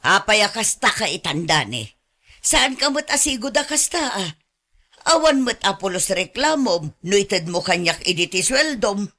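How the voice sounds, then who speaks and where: Bright-voiced, nagging Ilocano mother. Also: medium pitch, very animated, moderate pace, exaggerated voice. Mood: indignant. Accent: Ilocano Filipino. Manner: insistent, nagging, indignant. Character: possessive, money-grasping older mother with a grown son. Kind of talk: Filipino radio drama acting.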